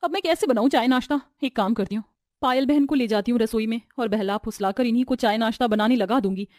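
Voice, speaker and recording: High-pitched woman playing a young daughter-in-law, lazy, self-pitying, complaining, family storybook tale. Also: quick pace, darkish tone, no room sound, studio quality, lightly acted. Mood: whining.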